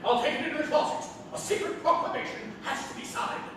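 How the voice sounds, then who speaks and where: A man playing a deluded, excitable fellow who thinks he is President, pompous and declamatory, in a stage comedy. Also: fast, lightly acted. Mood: pompous excitement. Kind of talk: live stage comedy acting. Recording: very echoey theatre, distant mic, noisy.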